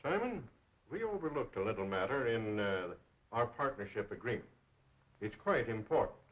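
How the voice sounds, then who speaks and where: Pressing business partner, dull old soundtrack. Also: male voice, mid-range voice, sing-song, moderate pace, fairly bright tone. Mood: businesslike. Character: insistent, scheming male business partner, coldly businesslike. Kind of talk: 1930s film acting. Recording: dull 1930s film soundtrack.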